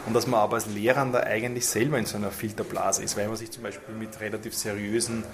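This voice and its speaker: A man's voice: on the low side, flat delivery, quick pace. A man summing up a discussion in a spontaneous, conversational interview answer. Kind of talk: spontaneous interview summary of a workshop. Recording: noticeable ambient background noise.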